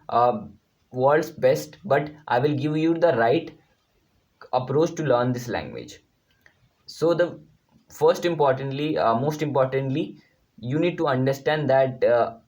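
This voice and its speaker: Male online tutor, Indian accent, Hindi first language. Informal teaching, halting and emphatic, mid-range voice, repeats points. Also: medium pitch, a little mellow. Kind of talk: informal lesson to viewers.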